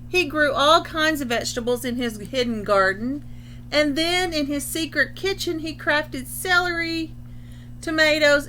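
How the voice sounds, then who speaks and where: A woman with a bright, fairly high voice speaks as a school librarian to young children. Her delivery is instructional and explanatory, in the style of a picture-book read-aloud. A light background noise with a hum sits behind her. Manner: explanatory, pausing to define words.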